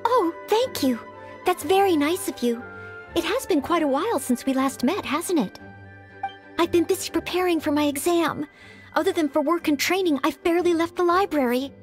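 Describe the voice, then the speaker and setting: A woman's voice: extremely high pitch, animated, moderate pace, faint music behind. A woman voicing an earnest, anxious young maid who dreams of knighthood in a video game, speaking politely and hesitantly. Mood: polite, eager. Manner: polite, hesitant, self-doubting.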